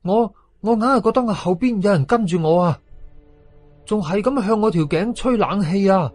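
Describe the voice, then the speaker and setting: Man doing a spooked young boy apprentice, high-pitched. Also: lively intonation, moderate pace, darkish tone, faint music behind. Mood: uneasy. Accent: Hong Kong Cantonese. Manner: uneasy, blurting.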